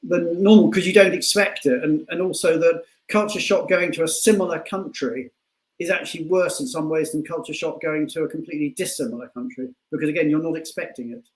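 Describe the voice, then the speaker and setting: A man with a distinctly high-pitched voice hosts a casual interview. He speaks haltingly, doubling back on words as he builds a question. The room around him rings with clear echo. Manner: halting, often repeats words mid-sentence. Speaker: show host, interviewer. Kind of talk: casual live online interview. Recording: echoey room on online call.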